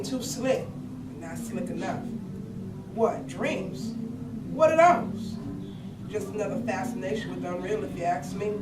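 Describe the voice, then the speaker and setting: A woman's voice: medium pitch, lightly acted. A woman playing a restless, lonely daughter in a poetic monologue at a staged play reading. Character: restless, lonely daughter longing for her late mother. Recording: echoey room, distant mic, loud noise.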